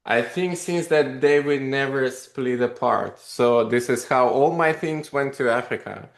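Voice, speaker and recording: Guy telling stories on a podcast, animated, rambly, echoey room. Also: medium pitch, a little slow, creaky. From Russia. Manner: animated, rambling with many fillers. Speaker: podcast guest, company founder. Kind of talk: casual interview storytelling.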